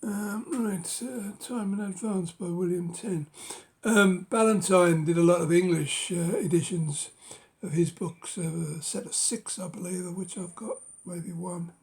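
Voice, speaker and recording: A middle-aged man with a cold, speaking hoarsely and haltingly in a casual show-and-tell of his books, often dropping to a whisper. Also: high voice. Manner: halting, many ums and uhs, drops to a whisper. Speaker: book collector vlogger.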